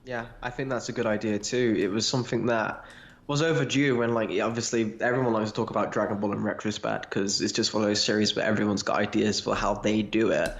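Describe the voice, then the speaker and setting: A man's voice: on the low side, steady tone, fast. A man co-hosting a podcast in a casual fan discussion, phrasing things in a hesitant, roundabout way. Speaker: podcast co-host. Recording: roomy, echoey voice recording.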